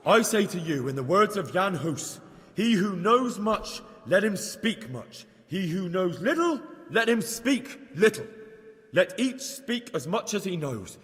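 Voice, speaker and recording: A man playing an earnest, unsure young layman who preaches in a declamatory but halting way in a video game. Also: high voice, a bit bright. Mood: preachy, earnest. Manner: declamatory but halting, stammering when flustered. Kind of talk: video game acting, improvised sermon. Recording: reverberant church hall.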